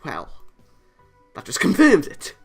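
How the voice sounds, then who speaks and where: A man's voice: a little high, huge pitch swings, slow pace, vocal fry, breathy edge, faint music behind. A man reading a visual novel aloud for a let's play, stumbling now and then and tossing in casual, sweary asides. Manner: stumbles over read lines, swears casually. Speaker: let's player.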